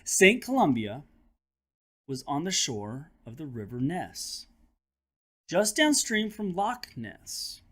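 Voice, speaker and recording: A man reads a children's book aloud as a teacher and host would. His voice sits a little high and swings widely in pitch. The delivery is dramatic and expressive, with conversational asides to young listeners. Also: takes it slowly, long pauses.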